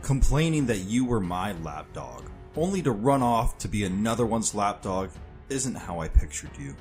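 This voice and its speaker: A man's voice: fairly low pitch, lively intonation, moderate pace, darkish tone, soft background music. A man playing a ruthless, bitter soldier and father figure in an audio drama, speaking with disdain and self-justification. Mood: scornful. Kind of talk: audio fiction character acting.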